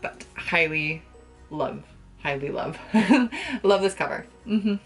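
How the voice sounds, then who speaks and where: A young woman chatting casually to the camera as a book vlogger, with an expressive, gushing and emphatic way of talking. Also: on the low side, slow, slightly rough, soft background music. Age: young adult. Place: small bedroom, indoors.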